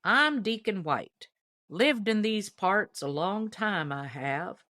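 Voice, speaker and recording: A woman's voice: mid-range voice, animated, takes it slowly, bright, forceful tone, no echo, studio quality, pitched above the performer's own voice. A woman playing a very old, shrewd country deacon in a storybook, piping and folksy in an old-timer's manner. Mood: folksy, reminiscing. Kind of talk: storybook character voice in audiobook reading.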